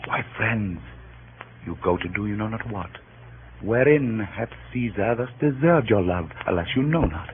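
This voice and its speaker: A cunning Roman orator coaxes in a lowish, theatrical voice. It sounds like classical radio drama from the 1930s, tinny and faintly noisy. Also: male voice, lively intonation, unhurried. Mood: coaxing. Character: Mark Antony, cunning Roman orator coaxing citizens. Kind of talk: 1930s radio stage acting. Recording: muffled, hissy 1930s radio recording.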